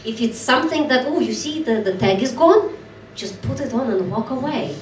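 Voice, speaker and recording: A woman's voice: high voice, lively intonation. A woman from Belarus with a Belarusian accent, a podcast host in casual conversation, candid and self-mocking. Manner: candid, self-mocking, talks in quick bursts. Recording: roomy, distant mic, faint background noise.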